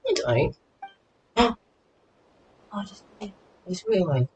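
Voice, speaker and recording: A man's voice: high voice, very animated, slow, a little mellow, a little hiss or hum. A man giving casual gameplay commentary as a streamer, blurting short reactions and repeating his phrases. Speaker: streamer playing a video game. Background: game music.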